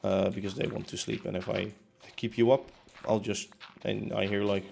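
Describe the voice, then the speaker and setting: A man's voice: lowish voice, flat delivery, normal speed, vocal fry, darkish tone, a little hiss or hum. A young man streaming from his apartment as he builds in a game, chatting casually and rambling with lots of fillers and restarts. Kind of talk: casual chat while building. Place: home room in a concrete apartment.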